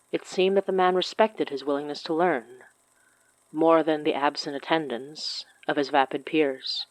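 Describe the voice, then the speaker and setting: A woman's voice: deep voice, dry recording, low-quality recording. A woman hosting a fiction podcast, reading announcements and scripted story narration.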